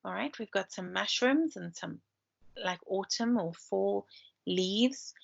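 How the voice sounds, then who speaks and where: A woman's voice: medium pitch, slow pace, creaky. A woman teacher doing a read-aloud story time for children, chatting and explaining the pictures as she goes, over a computer microphone. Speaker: primary school teacher. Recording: dull lo-fi screen-share recording.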